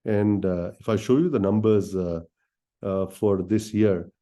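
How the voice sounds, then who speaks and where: A man speaking as a company executive in a business presentation, explaining things directly to listeners in halting phrases with many filled pauses. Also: fairly low pitch, steady tone, dark tone. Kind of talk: business presentation on an earnings call.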